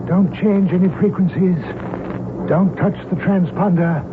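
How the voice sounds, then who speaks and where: A zealous reverend turned hijacker in an old radio play, with a fairly high voice. He is formal, measured and sure of himself, giving orders with cool politeness. The sound is tinny and narrow, with clear noise underneath. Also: fairly even intonation. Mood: calm menace. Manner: formal, measured, self-assured. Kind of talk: old-time radio drama acting.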